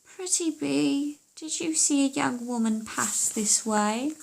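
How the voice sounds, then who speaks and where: A woman voicing a witch's dim, dutiful daughter in a storybook, with a drawn-out, plaintive manner. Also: high voice, gravelly, voice pushed up, heavily acted. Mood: coaxing.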